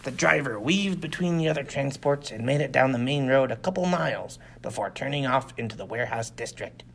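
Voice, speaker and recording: Man narrating with an animated, wide-ranging pitch. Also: brisk, gravelly. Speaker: audiobook reader. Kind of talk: scripted first-person sci-fi story narration.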